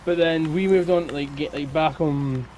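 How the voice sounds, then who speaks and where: A man's voice: fairly high pitch, moderate pace, darkish tone, a little hiss or hum. A man chatting casually and teasingly as a participant in a podcast. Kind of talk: casual chat.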